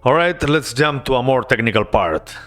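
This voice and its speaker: Male developer, mid-pitched, earnest devlog narration over soft music. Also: normal speed. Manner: earnest, relaxed storytelling with casual asides. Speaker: indie game developer.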